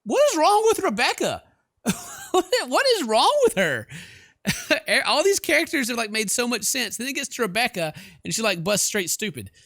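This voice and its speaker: A man hosting a gaming video, reading game text aloud and commenting in a sarcastic, animated way on a close mic. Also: very high voice, moderate pace, vocal fry, no echo. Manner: sarcastic, animated when incredulous.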